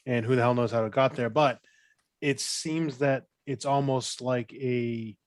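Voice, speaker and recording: A man speaks casually as one of a podcast panel of fans. His pitch is in the middle of the male range. The recording sounds dry and close. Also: fairly even intonation, a little slow, no room sound. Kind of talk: casual fan discussion.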